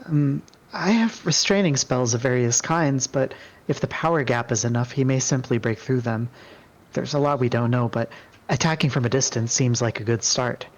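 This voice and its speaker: Man reading a cautious female spellcaster, middling pitch. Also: normal speed, lightly acted. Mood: cautious planning. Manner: hesitant, analytical.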